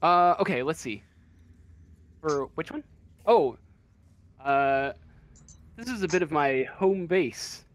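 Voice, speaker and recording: A man narrating as game master of a tabletop game, improvising in a hesitant, halting way. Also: a little high, lively intonation, no room sound.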